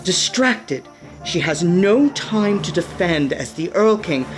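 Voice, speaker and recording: A woman's voice: fairly low pitch, animated, slow. A woman reading scripted narration for a radio play, describing the action as narrator. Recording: music behind the narration. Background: background music.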